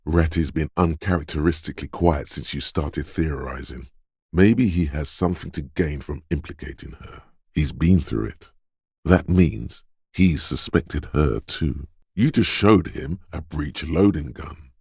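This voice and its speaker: An extremely deep-voiced quick-witted inner voice of a detective's instincts in a video game. He narrates in the second person, knowing and measured, with a dramatic touch. The sound is very close and dry. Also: a little mellow, breathy edge, dry recording, heavily acted. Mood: tense, narrating. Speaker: voice actor.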